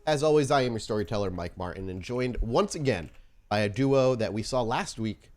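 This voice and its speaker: A man hosting and narrating a tabletop roleplaying podcast as storyteller, informal in chat and deliberate when setting scenes. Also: mid-range voice, expressive, darkish tone. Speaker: podcast host and game storyteller.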